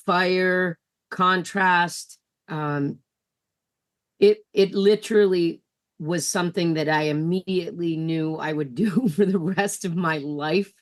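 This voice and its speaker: A woman answering a panel question in a deliberate, heartfelt way. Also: lowish voice. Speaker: panelist, sauna operator.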